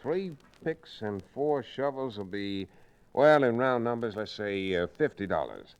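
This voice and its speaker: A man's voice: medium pitch, measured pace, clean tone, muffled recording. A man playing a cool, wry frontier hero with a folksy drawl in an old-time Western radio drama. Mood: wry, taunting. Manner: calm, dryly taunting, folksy.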